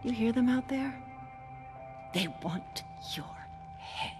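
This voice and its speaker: A woman's voice: fairly high pitch, lively intonation, takes it slowly. Casting: middle-aged female agency chief, controlled and menacing, video game villain, music underneath. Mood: smug menace. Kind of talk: video game cutscene acting.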